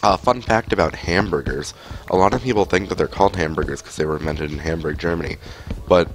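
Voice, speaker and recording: Deep-voiced young man with a cold. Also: fast talker, rough recording. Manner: rambling, chatty, many fillers. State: has a cold. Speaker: streamer. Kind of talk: casual gameplay commentary. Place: home room, indoors. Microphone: headset mic.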